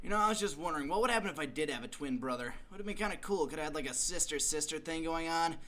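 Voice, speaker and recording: A man's voice: on the high side, rapid-fire, pushed and bright, soft background music. A man voicing a brash, foul-mouthed, sarcastic teenage delinquent boy in an anime parody dub. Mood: musing. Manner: cocky, sardonic.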